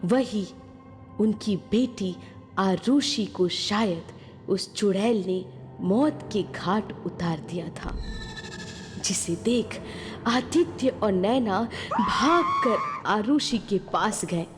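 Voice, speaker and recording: A woman's voice: mid-range voice, animated, slow. A woman narrating a scripted horror story in a dramatic storytelling style. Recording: long reverb, music behind.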